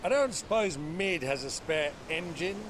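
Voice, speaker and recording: An actor playing a jovial, grandiloquent middle-aged warrior uncle in a video game cutscene. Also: male voice, a little high, animated, measured pace, noisy background. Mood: wry concern. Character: hearty, theatrical gray-bearded warrior uncle. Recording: clear sea and ship ambience behind.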